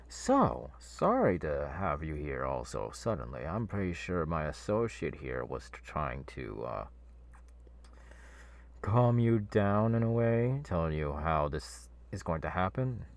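Man acting creaky, gleefully sinister masked henchman. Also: extremely low pitch, heavily acted. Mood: casual, apologetic. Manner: halting, rambling, mock-soothing menace. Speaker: ASMR voice actor. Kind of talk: ASMR horror audio roleplay.

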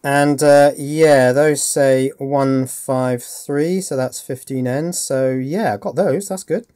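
Male electronics tutorial host, mid-pitched, informal, thinking aloud at the workbench. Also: fairly even intonation, takes it slowly, mellow. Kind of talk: casual hands-on electronics tutorial.

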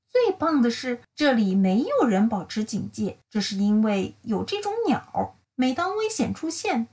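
Woman voicing an eager young meerkat, high and lively. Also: full character voice. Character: eager young male meerkat writing home, cheerful. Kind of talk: children's picture-book letter reading.

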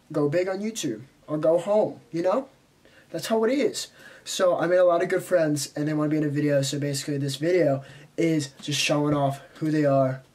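Congested young guy vlogging from his bedroom, stuffy nose, rambly and chatty, voice a bit on the high side, camera close up. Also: darkish tone. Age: young adult. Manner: rambling, chatty, quick asides. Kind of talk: casual vlog talk to camera. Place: bedroom, indoors, small. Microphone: camera in front at close range.

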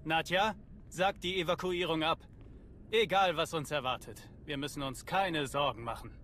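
A man's voice: on the high side, moderate pace, a bit bright, a little hiss or hum, natural voice. A man playing an eager, admiring colony defender in a video game, with an effusive manner. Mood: relieved. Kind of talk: German video game voice acting.

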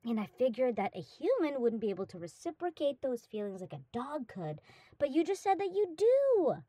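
A woman voices a young dog-girl full of eager affection. Her voice is high and swings up and down with excitement as she gushes and rambles. It is an audio roleplay, recorded very dry and close. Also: moderate pace, dry recording. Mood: overjoyed. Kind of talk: roleplay audio drama, acted monologue to the listener.

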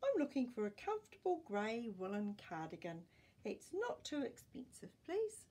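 A woman acts out a fussy old grandpa from a children's storybook. He is polite but plaintive and weary, with a husky voice that rises and falls a lot. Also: a little high, wildly swooping pitch, moderate pace, mellow, full character voice. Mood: polite, hopeful.

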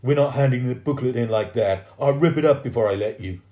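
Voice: medium pitch, fairly quick, warm tone, reverberant, voice pushed up, natural voice. An adult storyteller voicing an indignant, hot-tempered, blunt schoolboy. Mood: threatening.

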